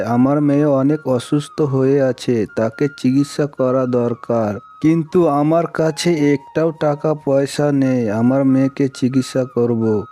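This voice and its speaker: A man's voice: medium pitch, dark tone, lightly acted. A man playing a desperate poor father in a cartoon melodrama, pleading earnestly.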